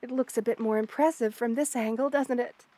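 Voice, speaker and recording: Gentle, high-voiced motherly spider queen played by a woman. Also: steady tone, no room sound, close to an everyday voice. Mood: gentle, apologetic. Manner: gentle, soothing, hesitant pauses, formal wording. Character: kind, motherly spider queen monster woman, tender and protective. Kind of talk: ASMR roleplay, intimate one-sided dialogue to the listener.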